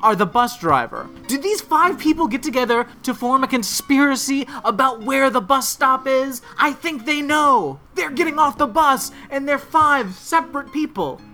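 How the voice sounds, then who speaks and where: A man telling a long, animated story in casual chat, swearing freely, with a strong, trained voice. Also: very high-pitched, moderate pace, hardly any pauses, faint music behind. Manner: trained projecting voice. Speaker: podcast co-host. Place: Orlando area.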